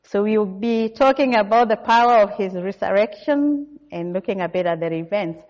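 A middle-aged woman from Kenya with a Kenyan accent, giving a guest sermon in a church and speaking in a measured way with frequent "uh" fillers. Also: medium pitch, darkish tone. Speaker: guest preacher. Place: church hall. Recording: dull recording with long hall reverb.

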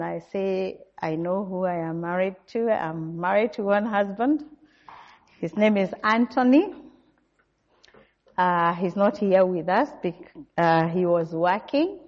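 A middle-aged Kenyan woman delivers a sermon in an unhurried, measured voice with lots of small fillers. The recording is dull and lo-fi, and her voice rings out with a long church-hall reverb. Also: mid-range voice. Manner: measured, warm church address with frequent "uh" fillers. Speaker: guest preacher.

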